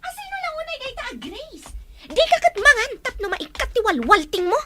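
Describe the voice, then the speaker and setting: An actress playing a scheming, sharp-tongued woman in radio-style family melodrama. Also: extremely high pitch, sing-song, fairly quick, heavy background noise. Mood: scornful.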